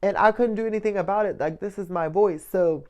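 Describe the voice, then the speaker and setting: A man with a light, soft voice giving a casual motivational monologue as a vlogger, often checking in with the listener. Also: high-pitched, fairly quick, clean tone. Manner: conversational, checks in often with "right?" and "you know".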